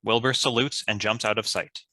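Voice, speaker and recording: A man's voice: lowish voice, steady tone, moderate pace, sharp tone, no echo. A man reading scripted stage directions as a narrator.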